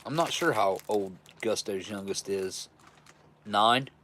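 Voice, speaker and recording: A man's voice: lowish voice, slow pace, fairly bright tone, slight background noise. Easygoing rambling man in his forties. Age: 40s. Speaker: live stream host. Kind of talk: casual live chat reading viewer messages.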